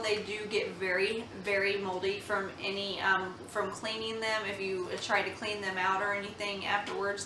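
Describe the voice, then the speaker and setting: A woman's voice: medium pitch, noisy background. A young woman, a mother, chatting earnestly at home about baby products, with many ums and restarts. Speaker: mom vlogger reviewing baby products. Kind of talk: casual product review chat. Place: home room. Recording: echoey room, slightly far from mic.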